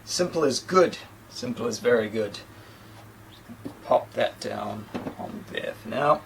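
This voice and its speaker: Chatty New Zealand man, lively pitch. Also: slow pace, creaky, slight background noise, rough recording. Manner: chatty, rambling, self-deprecating asides. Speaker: tech reviewer. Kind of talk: casual unboxing talk. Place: small indoor room against a plain sheet backdrop.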